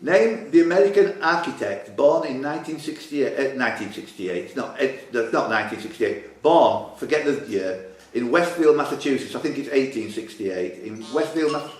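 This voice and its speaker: A man's voice: mid-range voice, animated, normal speed. A man hosting a live pub quiz, reading out questions and teasing the contestants. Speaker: quiz master. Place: indoor club room. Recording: echoey room, clear background noise.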